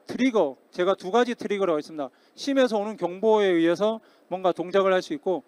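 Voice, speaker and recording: A man speaking as a technical expert in a formal conference Q&A, explaining politely and hesitantly with frequent pauses. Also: high voice, a little mellow, no room sound. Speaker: conference speaker, security company technical director answering questions. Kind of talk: formal Q&A after a presentation. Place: conference hall stage, amplified talk.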